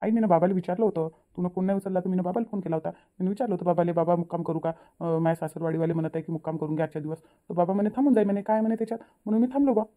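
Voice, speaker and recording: A woman's voice: lowish voice, very fast, few pauses, lightly acted. A defensive, soothing young newlywed son, played by a woman, speaks through tinny, phone-like cartoon audio. He hurries through pleading explanations in a Vidarbha Marathi accent, apologetic and eager to placate. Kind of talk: cartoon family drama.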